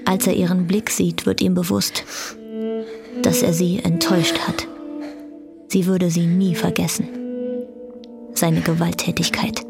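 A woman's voice: lowish voice, level tone, unhurried, gravelly, long pauses, over loud music. A woman narrating a German radio drama from a script.

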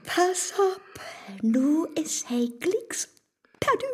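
An actress playing a cunning, bold neighbour woman in a rhymed radio play, with a northern Low German accent and a sly, coaxing delivery. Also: big pitch swings, extremely slow, music in the background, full character voice. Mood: gleeful triumph. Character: Marte, cunning bold neighbour woman baiting the devil online. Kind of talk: audio drama acting in rhymed verse.

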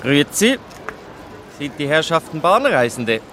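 An unyielding policeman in a radio drama. His voice is a little high, formal and dryly polite, with clear urban noise behind him. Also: big pitch swings, unhurried. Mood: officiously polite. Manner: formal, dry. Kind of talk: naturalistic radio drama acting. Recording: noisy outdoor urban scene with vehicles. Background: traffic and street noise.

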